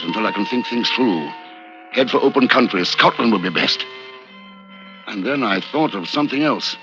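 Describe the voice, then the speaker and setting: An actor playing a courteous, sceptical British gentleman with a dry, understated manner, in 1950s radio drama style. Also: medium pitch, natural voice. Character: unflappable, polite, sceptical British gentleman, narrating his adventure. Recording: muffled 1950s radio recording, music behind.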